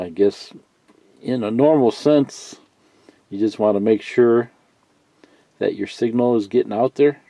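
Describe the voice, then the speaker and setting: A man giving a casual, unscripted how-to tutorial, thinking out loud in a halting, folksy way and reading bits of instructions aloud. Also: fairly low pitch. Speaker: hobbyist instructor. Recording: muffled lo-fi sound, roomy echo.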